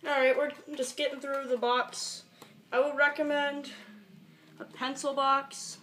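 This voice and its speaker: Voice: sits high, flat delivery, measured pace, sharp tone. A young teenager rambling casually through an improvised tutorial vlog, muttering and swearing, recorded in a small bedroom on a camera mic. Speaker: amateur video maker. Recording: echoey, noisy amateur home recording.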